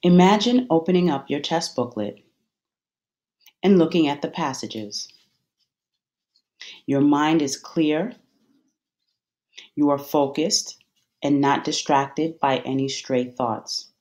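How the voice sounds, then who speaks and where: A meditation guide giving soothing, measured instructions with deliberate pauses between phrases. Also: medium pitch, a little mellow.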